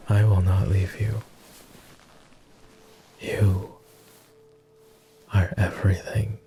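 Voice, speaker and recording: A man's voice: lowish voice, vocal fry, warm tone. A man voicing a devoted, world-weary immortal vampire companion in intimate roleplay ASMR, speaking tenderly and earnestly in formal phrasing. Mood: insistent, devoted. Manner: tender, earnest, hesitant, somewhat formal speech.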